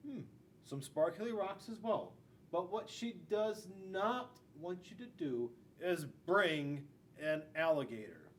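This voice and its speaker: Animated, high-pitched man reading to kids. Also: slow. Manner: playful, addressing young children. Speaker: school resource officer reading to children. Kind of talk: storybook read-aloud with chatty asides. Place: school classroom. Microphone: camera a few feet away. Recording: distant mic, faint room noise.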